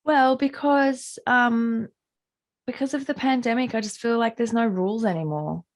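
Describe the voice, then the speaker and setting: A middle-aged Australian woman musician with a husky, gravelly voice, answering interview questions in a loose, rambling way full of "like" and restarts, over a video call. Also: a little high, moderate pace. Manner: rambling, many likes and restarts.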